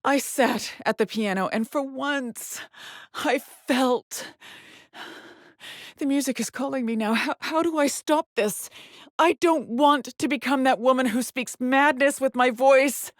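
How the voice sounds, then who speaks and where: An actress playing a haunted, weary woman astronaut stranded alone on an alien world in a video game, with a breathy voice and a grave, brooding delivery. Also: on the high side, takes it slowly, no room sound, clean studio sound. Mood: anguished. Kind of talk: dramatic game voice lines, monologue logs.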